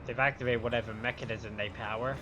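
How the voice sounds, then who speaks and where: A man's voice: medium pitch, fairly even intonation, quick pace, muffled recording, background noise. A man on a live game stream, reading dialogue aloud with stumbles over the words and reacting to it. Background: game music.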